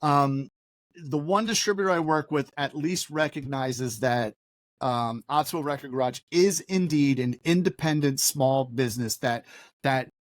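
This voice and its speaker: A man's voice: medium pitch, unhurried, no room sound. A man, co-hosting a casual podcast from his record shop, ranting and swearing with energy. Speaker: podcast co-host and record store owner. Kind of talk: casual chat.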